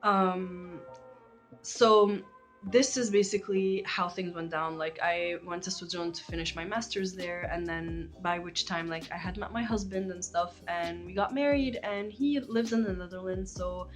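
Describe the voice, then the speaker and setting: A woman's voice: lowish voice, steady tone, moderate pace, soft background music. An Egyptian woman in her twenties, talking casually to camera about her life as a channel host, with many likes and ums. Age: 20s.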